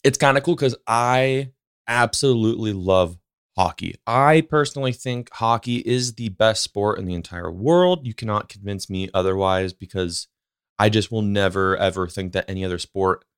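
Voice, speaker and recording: Smooth-voiced man rambling casually. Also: fairly low pitch, moderate pace, no echo, studio quality. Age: 30s. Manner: rambling, slangy, upbeat, swears freely. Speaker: podcast host. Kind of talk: casual solo podcast monologue.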